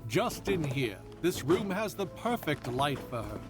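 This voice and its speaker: A man's voice: a little high, big pitch swings, measured pace. An actor playing a domineering, possessive older man who has just bought a prized captive. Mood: pleased. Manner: commanding, possessive. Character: possessive older male collector, domineering and cold. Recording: music bed behind.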